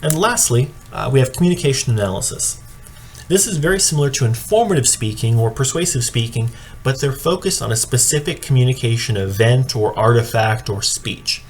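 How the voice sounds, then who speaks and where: Male instructor, average pitch, measured explanatory delivery for a judge-training presentation, audible noise behind the voice. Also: animated. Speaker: speech and debate coach training judges. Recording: clearly audible background noise.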